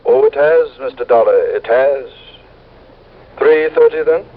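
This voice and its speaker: An actor as a prim, fussy executive, thin phone-like sound. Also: male voice, medium pitch, measured pace, close to an everyday voice. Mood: formal. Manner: prim, formal, clipped, punctilious. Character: fussy, stingy, self-important male insurance executive. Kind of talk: 1960s radio drama acting. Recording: thin, phone-like old radio recording.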